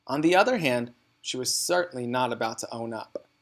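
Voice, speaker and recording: Male read-aloud narrator, medium pitch, expressive, deliberate, emphatic, dramatic storybook delivery. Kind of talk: expressive storybook reading for students.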